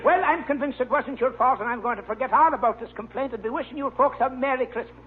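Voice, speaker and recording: A man's voice: very high-pitched, fast talker, husky. A man playing a courteous, easygoing, slightly dim policeman with a folksy manner in a 1940s radio comedy. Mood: genial, official. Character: polite, easygoing, slightly slow-witted policeman. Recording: narrow, muffled 1940s radio recording.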